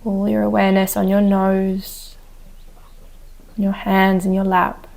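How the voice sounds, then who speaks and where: Woman, meditation teacher, very level intonation, creaky voice, gentle unhurried guidance, outdoors. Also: medium pitch, monotone. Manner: gentle, unhurried instruction with soft pauses. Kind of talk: guided meditation. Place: outdoors on a wooden deck. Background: chickens and a rooster.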